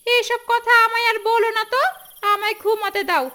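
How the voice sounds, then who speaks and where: A woman's voice: very high-pitched, little pitch movement, normal speed, bright, forceful tone. A woman playing an exasperated village wife in a cartoon, nagging and reproachful. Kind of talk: Bengali fairy-tale cartoon dialogue. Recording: clear background noise, outdoor ambience.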